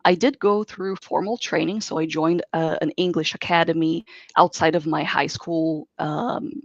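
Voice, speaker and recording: A woman from Brazil, a native Portuguese speaker, speaking as a software engineer guest in an online interview and giving earnest, expansive advice. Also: sits low, fairly even intonation, normal speed, no room sound. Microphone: video call. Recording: dull dry video call audio.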